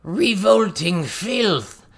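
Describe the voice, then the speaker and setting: Crude little goblin tutor for an audiobook, male narrator acting, excitable, theatrical, swinging widely in pitch. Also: drawn-out words, creaky, heavily acted. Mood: disgusted, bellowing.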